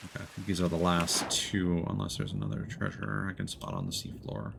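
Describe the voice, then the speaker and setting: A man giving casual commentary while playing a co-op game, guiding a teammate and thinking aloud haltingly. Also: deep voice, sing-song, gravelly, background noise. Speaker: streamer guiding a co-op player.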